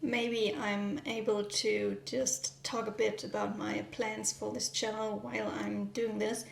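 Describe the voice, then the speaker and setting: A German woman with a German accent, vlogging in a gentle, hesitant way with frequent ums while baking in her home kitchen. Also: mid-range voice, flat delivery, a little slow, vocal fry, reverberant. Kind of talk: soft-spoken vlog narration.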